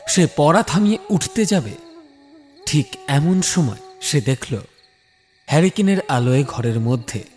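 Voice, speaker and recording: A man's voice: medium pitch, darkish tone. A man giving a dramatic scripted narration as the storyteller of a horror tale. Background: music bed.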